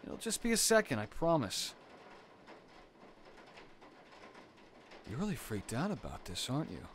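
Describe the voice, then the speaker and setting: Young boyfriend, played by a male actor, mid-pitched and expressive, reassuring and gently teasing, intimate comfort audio with light ambient noise. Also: brisk. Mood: soothing, reassuring. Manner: soft reassuring talk with sighs and teasing.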